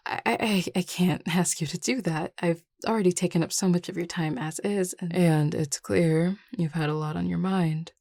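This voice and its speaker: Woman doing a nervous young vampire leader for audio rp, stammery, unsure of herself, breathy murmurs, very dry close sound. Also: fairly low pitch, steady tone, moderate pace, few pauses, a little mellow, no room sound. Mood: timid, grateful. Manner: hesitant, stammering, often dropping to a breathy murmur. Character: anxious, self-doubting young vampire leader, soft and earnest. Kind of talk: intimate audio roleplay acting.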